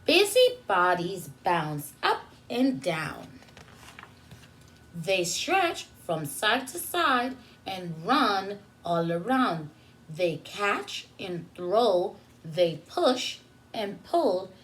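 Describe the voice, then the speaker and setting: Slow, lively woman teaching preschool story time. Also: medium pitch. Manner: instructional, asks questions and pauses for answers. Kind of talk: read-aloud story time for young children with teaching asides.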